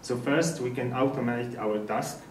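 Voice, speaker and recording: A man from Poland with a Polish accent gives an informal technical presentation in slightly halting non-native English. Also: medium pitch. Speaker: speaker at a developer meetup. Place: indoor meetup presentation room. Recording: echoey room, distant mic, faint noise.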